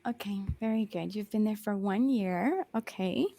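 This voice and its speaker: A woman's voice: mid-range voice, creaky, warm tone, no echo. A young Australian woman tutoring English over a video call, patient and encouraging, rephrasing often with lots of ums. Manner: patient and encouraging, slows down and rephrases, many um fillers. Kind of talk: one-on-one language lesson over video call.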